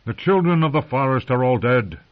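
A man's voice: medium pitch, expressive, a little fast, pitched above the performer's own voice, natural voice. A man voicing a stern, impatient old male commander who growls and scorns. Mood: gruff. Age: older. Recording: muffled lo-fi recording.

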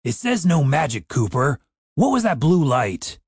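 A man's voice: a little high, big pitch swings, a little slow, a little mellow, breathy edge, no echo, clean studio sound, heavily acted. A man voicing an anxious, exasperated male adventurer in a fantasy audiobook, scolding and pleading in an indignant tone. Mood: alarmed scolding.

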